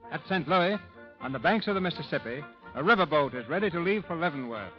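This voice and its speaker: A man's voice: a little high, expressive, fast. A man narrating a 1930s radio program as its announcer in a formal, theatrical scripted style. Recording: muffled vintage 1930s radio broadcast. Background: music.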